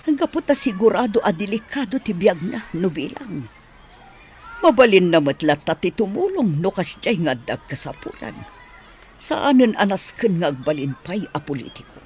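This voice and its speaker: Radio drama lead: man as anxious, strong-willed wife, high-pitched, emphatic, with big melodic swings. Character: worried, strong-willed wife of a would-be candidate. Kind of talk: Ilocano radio drama acting.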